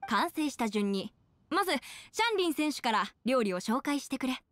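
A woman voicing a brisk, formal young contest announcer with theatrical commentary in a Japanese game. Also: high voice, lively intonation, fairly bright tone, dry recording. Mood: official.

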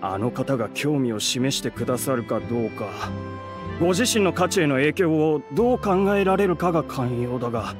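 A man's voice: medium pitch, animated, normal speed, music in the background, close to an everyday voice. An actor playing an earnest, courteous young man from a theater troupe in a Japanese anime game. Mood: hopeful.

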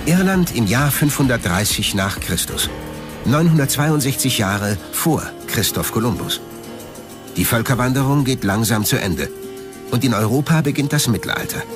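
A man's voice: mid-range voice, expressive, normal speed, over music. A man reading scripted documentary narration as the narrator of a history programme.

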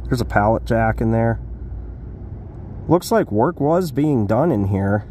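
A man's voice: medium pitch, animated, unhurried, mellow, slight background noise. A man walking around an abandoned store outdoors, filming on a handheld phone and commenting casually as he goes, rambling and thinking aloud with fillers. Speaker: urban exploring vlogger. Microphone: handheld phone camera.

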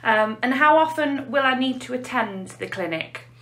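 A woman's voice: high voice, animated, normal speed, a bit bright. An English woman, a nurse specialist, interviewing a colleague with plain scripted questions.

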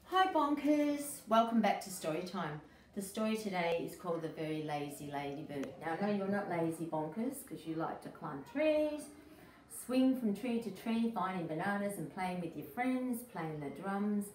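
A middle-aged woman, an educator, reading a picture book aloud to young children in an expressive, emphatic way. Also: mid-range voice, moderate pace. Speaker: early childhood educator, story-time host. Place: small indoor room. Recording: echoey room, distant mic, faint noise.

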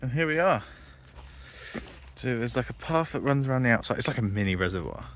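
A man's voice: medium pitch, expressive, fairly quick, a bit bright, slight background noise. A man vlogging outdoors on his farm, chatting casually and thinking aloud as he walks. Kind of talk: casual outdoor vlog narration. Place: outdoors on a farm by a ditch and fields.

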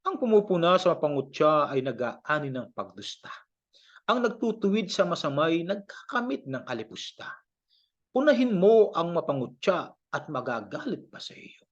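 A Filipino man reading scripture from a script as an audio Bible, in a measured, declamatory way. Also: fairly high pitch, big pitch swings, highs cut off.

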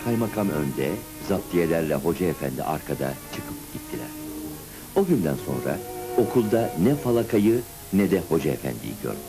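A man narrating a cartoon story in the first person with expressive, dramatic storytelling. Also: sits low, normal speed. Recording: music behind, old cartoon soundtrack. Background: music.

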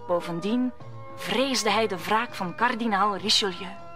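A woman's voice: mid-range voice, unhurried, music in the background. A woman narrating a radio play as a scripted story.

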